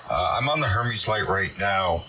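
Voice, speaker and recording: A man chatting casually as a ham radio operator, friendly and technical, with a halting delivery. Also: sits low, lively intonation. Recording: dull radio signal under loud static.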